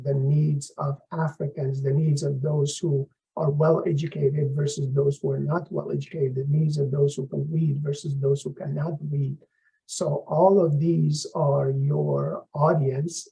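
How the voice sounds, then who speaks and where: A middle-aged man from Afghanistan, a professor introducing his course in a measured, explanatory lecturing manner. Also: on the high side, creaky, dark tone, low-quality recording.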